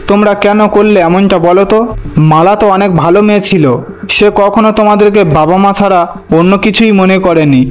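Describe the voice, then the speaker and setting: A grieving young man voiced by a male actor speaks in a high voice, emphatic and full of reproach. It is cartoon melodrama, with music running underneath. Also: steady tone, brisk, smooth, lightly acted. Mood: reproachful, grieving. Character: grieving young husband, righteous son confronting his parents.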